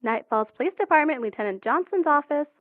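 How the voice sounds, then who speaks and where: A woman playing a brisk, officious police receptionist in an audio drama, heard over a tinny phone line. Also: high-pitched, animated, no echo.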